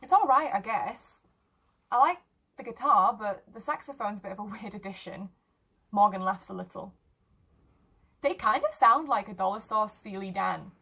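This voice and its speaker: A woman's voice: medium pitch, lively intonation, normal speed, gravelly, pauses often, pushed and bright, breathy edge, exaggerated voice, poor audio. A woman voicing a laid-back, teasing and skeptical teenage girl in a short story, casual and blunt in her slang.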